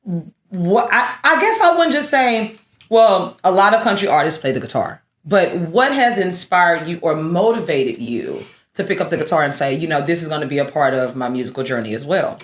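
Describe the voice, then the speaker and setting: A woman radio host with a lowish voice that leaps around in pitch speaks in a teasing, animated way. She is heard in an echoey room. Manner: teasing, animated, quick to laugh and jump in. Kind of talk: playful interview hosting.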